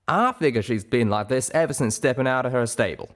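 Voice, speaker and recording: A man's voice: medium pitch, animated, fairly quick, voice pushed up, lightly acted. A man voicing a teasing male pony companion with a folksy, drawling cowboy manner in a story reading.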